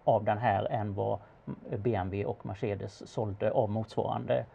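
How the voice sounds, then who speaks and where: A man giving casual expert commentary in a conversation, speaking haltingly with pauses in mid-sentence. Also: lowish voice, steady tone, gravelly, mellow, husky, highs cut off. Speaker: car expert answering questions about an auction car.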